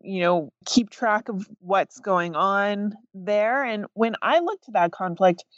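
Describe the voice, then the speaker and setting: A woman academic speaks in a measured, thoughtful way, pausing with small fillers as she explains. Her voice sounds distant and dull, like remote lo-fi audio. The sound is dry. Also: mid-range voice, expressive, no echo. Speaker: guest author, professor. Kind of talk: podcast interview answers.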